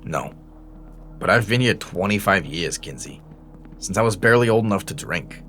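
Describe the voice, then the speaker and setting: Veteran male cop, played by an actor, middle-aged, very low register, cynical, wry, profane, for a crime audio drama. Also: animated, moderate pace, fairly bright tone, a little hiss or hum. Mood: jaded. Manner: wry, profane, world-weary. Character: weary, cynical veteran male police detective.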